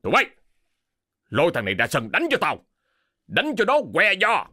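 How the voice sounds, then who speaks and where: A man's voice: medium pitch, wildly swooping pitch, moderate pace, a bit bright, slight background noise. A man voicing a furious, cruel rural landlord and father in an audio story, barking threats. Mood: violent rage. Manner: roaring, threatening, barked commands.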